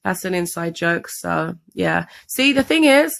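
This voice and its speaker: Hoarse, gravelly London woman with a cold. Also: fairly low pitch, lively intonation, measured pace, fairly bright tone. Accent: London English. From England, London. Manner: chatty, rambling with fillers. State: losing her voice from a cold. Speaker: vlogger co-host. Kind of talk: casual story time chat.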